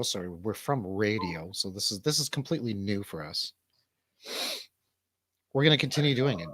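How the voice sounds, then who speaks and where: A man's voice: fairly low pitch, no echo. A middle-aged man hosting a casual live stream in informal, sometimes sweary chat. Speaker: show host.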